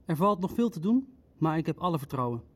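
A man's voice: fairly high pitch, big pitch swings. A man playing a cautious, precise Nazi bureaucrat in a Dutch radio play, choosing his words with care. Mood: cautious, deliberate. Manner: careful, deliberate.

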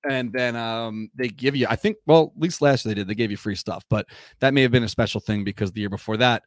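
A man co-hosting a casual sports fan show, chatty and rambling with lots of fillers, a little hoarse from a cough. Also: mid-range voice, fast, dry recording. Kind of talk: casual chat.

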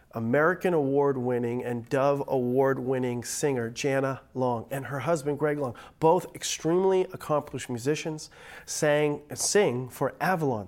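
A man's voice: medium pitch, darkish tone. A middle-aged man, a pastor giving a pre-recorded online church message, sounding a little nasal from a cold, upbeat and joking in a conversational way.

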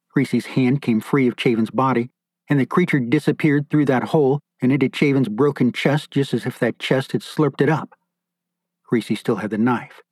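A man's voice: mid-range voice, darkish tone. A man narrating a scripted horror story as an author and audiobook narrator. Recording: roomy echo, faint music behind.

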